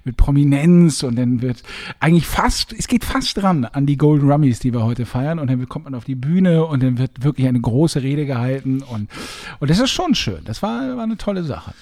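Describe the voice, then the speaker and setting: A man's voice: mid-range voice, lively intonation, normal speed, darkish tone. A middle-aged man, chatting casually as a podcast co-host in a playful, self-deprecating way. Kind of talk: casual chat.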